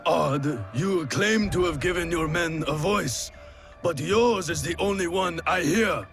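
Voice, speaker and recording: A man playing a grave, weary Japanese lord in a video game, speaking in a measured, formal and solemn way. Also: on the high side, creaky, a bit bright, soft background music. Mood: challenging. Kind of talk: dramatic video game cutscene acting.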